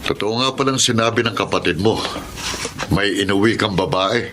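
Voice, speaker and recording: An actor playing a gruff, mocking father in a radio drama, speaking bluntly. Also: fairly low pitch, moderate pace, fairly bright tone, a little hiss or hum, natural voice. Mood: suspicious.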